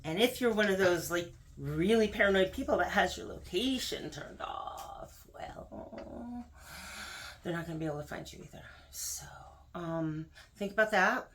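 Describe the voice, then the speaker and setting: A woman's voice: medium pitch, lively intonation, unhurried, a little hiss or hum. A woman speaking as a video host, giving casual, emphatic advice straight to the viewer and repeating words for stress.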